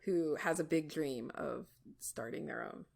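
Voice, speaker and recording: A woman's voice: low voice, unhurried, gravelly, a little mellow. A woman co-hosting a podcast, putting questions to a guest in casual interview chat. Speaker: podcast co-host asking questions.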